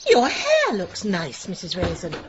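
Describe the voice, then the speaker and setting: A woman's voice: on the low side, wildly swooping pitch, measured pace, lo-fi sound, slight background noise. An actress playing a fussy, self-important, gossiping village woman in a radio comedy, prissy and hesitant. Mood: prim, insinuating. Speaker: supporting actress in a radio drama. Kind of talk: radio comedy drama acting.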